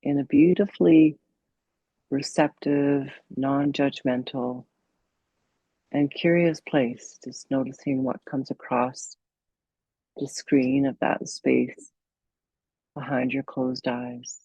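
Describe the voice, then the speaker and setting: Female meditation guide: very slow, soothing delivery with a creaky edge; slightly dull recording. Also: extremely low pitch, fairly even intonation, no echo. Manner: soothing, unhurried, gently instructive with long pauses. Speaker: yoga and meditation coach leading a guided meditation.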